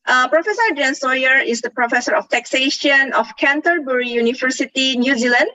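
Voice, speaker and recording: A woman's voice: high-pitched, steady tone, fairly quick, sharp tone, no echo, rough recording. A woman with an Indonesian accent moderating a webinar over a video call, formally reading out a speaker's introduction. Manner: formal, reads introductions carefully. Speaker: seminar moderator.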